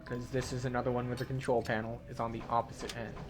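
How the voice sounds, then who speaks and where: A man's voice: medium pitch, level tone, fast, over music. A man giving video game walkthrough commentary as a tour guide, instructional and matter-of-fact.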